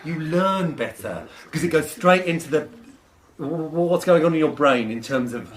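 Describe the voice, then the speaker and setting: A smug, overbearing motivational trainer in a radio play, acted by a man. His voice sits fairly high and rings in an echoing room. He delivers slick, pompous sales patter full of jargon. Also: lively intonation, moderate pace, a little hiss or hum, lightly acted. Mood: condescending. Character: smug, overbearing male motivational course leader. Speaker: self-confidence course trainer.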